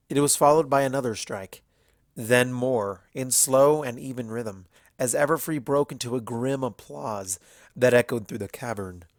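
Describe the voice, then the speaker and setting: A man with a medium-pitched voice narrates fiction in a solemn, measured manner. The sound is very dry, like a plain audiobook reading. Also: dry recording. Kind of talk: scripted dramatic fiction narration.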